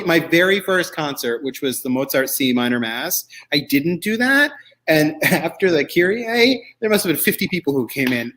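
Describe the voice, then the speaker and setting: A man's voice: fairly high pitch, fairly bright tone. A man hosting a show about choral music with casual game banter, chatty and digressive, often interrupting himself as he explains.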